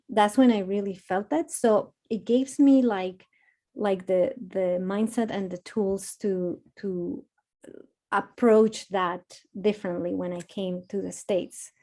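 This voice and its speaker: A woman with a Spanish accent, telling a candid personal story on a panel in an earnest, halting way with many restarts. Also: medium pitch, dark tone. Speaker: panelist, architecture author.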